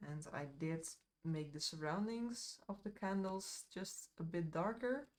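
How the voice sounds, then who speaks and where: Woman, Dutch accent, low voice, slow pace, casual streamer chat, rambling with fillers. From Netherlands. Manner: relaxed, rambling, with frequent fillers and asides. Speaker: miniature painting streamer. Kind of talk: casual live-stream chat while painting.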